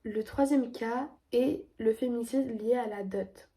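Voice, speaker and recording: A woman's voice: a little high, unhurried, mellow, far from the mic. A young woman with a cold, speaking, earnestly explaining a topic step by step as the host of an educational video. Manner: clear, earnest explaining, reading definitions and figures. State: stuffy, has a cold. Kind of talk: explanatory presentation.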